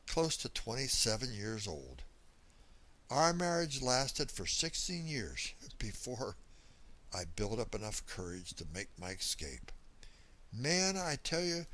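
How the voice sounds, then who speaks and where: A man playing a small, cheerful middle-aged drifter who likes his drink, rambling in a folksy, confiding first-person monologue with dramatic pauses. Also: a little high, fairly bright tone, full character voice. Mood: wistful, wry, then confiding, earnest. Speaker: story reader.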